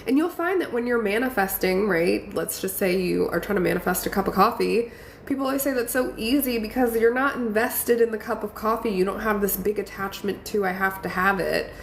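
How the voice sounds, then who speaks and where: Woman, stuffy nose, gravelly voice, affirming live-stream coach, echoey room with faint noise. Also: mid-range voice, a little fast. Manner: encouraging, affirming, chatty with fillers. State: congested from a cold. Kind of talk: casual live-stream talk reading viewer comments.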